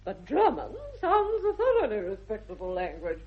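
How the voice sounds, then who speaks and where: An actress playing an imperious, snobbish middle-aged English aristocratic aunt in a 1960s radio comedy, with haughty, grand emphasis. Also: female voice, medium pitch, wildly swooping pitch, normal speed. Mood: grandly disapproving. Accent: upper-class English. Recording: slightly dull vintage radio recording.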